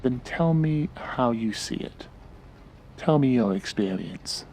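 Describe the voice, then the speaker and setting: An actor playing a calm, probing therapist in an audio drama, with a measured, polite and clinical manner. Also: male voice, medium pitch, a little hiss or hum, poor audio. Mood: earnest, coaxing.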